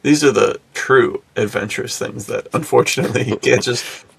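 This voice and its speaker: A man's voice: medium pitch, fairly even intonation, moderate pace, gravelly, breathy edge. A man co-hosting a podcast with jokey, slangy, casual banter. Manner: jokey, slangy, often chuckling. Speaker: podcast co-host.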